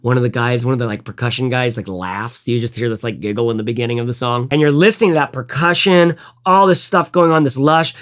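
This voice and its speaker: A man's voice: fast talker, few pauses, fairly bright tone. A man reviewing headphones in a loose, rambling chat. He swears freely, restarts his sentences and hums out bits of music to make his point. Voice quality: fairly high voice. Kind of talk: casual gear review chat.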